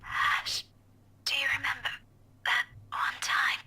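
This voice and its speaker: An actress playing an anxious, wistful young woman in a visual novel, with a breathy delivery. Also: slow pace, in a whisper, natural voice. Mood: urgent, wistful. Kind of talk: dramatic visual novel voice acting.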